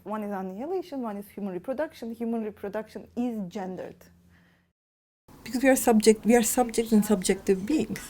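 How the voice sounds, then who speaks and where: A woman's voice: a little high, brisk, pauses often, dark tone. A woman historian in her forties from Turkey, speaking earnestly and reflectively in a documentary interview.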